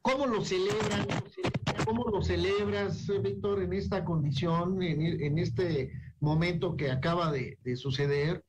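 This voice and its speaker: Man, radio host, measured reflective delivery, a bit high-pitched. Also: noisy background. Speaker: radio talk show host. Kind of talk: radio interview.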